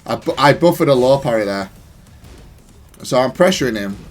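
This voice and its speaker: A man's voice: mid-range voice, lively intonation, moderate pace, soft background music. A man giving casual, analytical gameplay commentary in a matter-of-fact, self-critical way. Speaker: gaming content creator.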